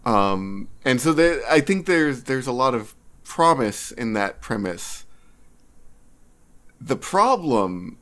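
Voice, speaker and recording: Man talking thoughtfully, halting delivery. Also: mid-range voice, big pitch swings, a bit bright. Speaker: podcast co-host. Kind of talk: casual podcast discussion.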